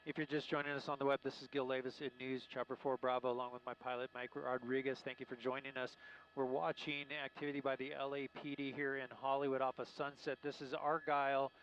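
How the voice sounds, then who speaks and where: A man working as a helicopter news reporter, giving live unscripted commentary in a halting, searching way. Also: mid-range voice, flat delivery, fairly bright tone. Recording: muffled lo-fi live broadcast with faint noise.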